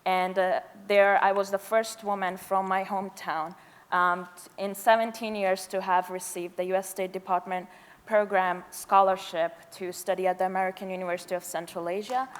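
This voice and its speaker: A woman's voice: medium pitch, flat delivery. A nervous student in her twenties, a woman from Afghanistan who grew up in Pakistan, giving a hesitant, informal speech. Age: 20s. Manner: hesitant, halting. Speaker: university student speaker. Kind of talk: informal, unscripted public speech. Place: large indoor event hall. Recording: echoey hall, long reverb.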